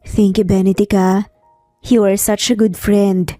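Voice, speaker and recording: A woman's voice: medium pitch, level tone, unhurried, mellow, natural voice. A woman with a Filipino accent voicing an earnest, emotional young mother in an audio romance story. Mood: grateful. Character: earnest young mother, worried grateful daughter. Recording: roomy echo, faint music behind.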